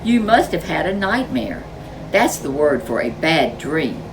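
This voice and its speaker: A woman voicing a kindly father bear in a storybook, calm and reassuring as he explains. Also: low voice, unhurried, background noise. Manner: calming, explanatory.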